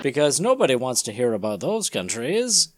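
A man's voice: medium pitch, huge pitch swings, normal speed, background noise. A man co-hosting a podcast, reading comic-book narration aloud in a theatrical, comedic announcer style.

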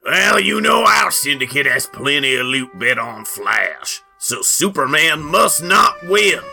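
A scheming cowboy mob boss played by a man, drawling in a put-on Southern accent. The voice is bright and forceful, stretching words out with a lively swing for a comic-book reading. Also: a little high, a little slow, gravelly, faint music behind, heavily acted.

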